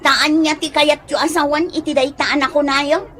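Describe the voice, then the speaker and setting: High-pitched sharp-tongued Ilocano wife. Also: female voice, steady tone, moderate pace. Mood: annoyed, snappy. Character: irritable, sharp-tongued wife who softens and apologises. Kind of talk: Ilocano radio comedy drama acting.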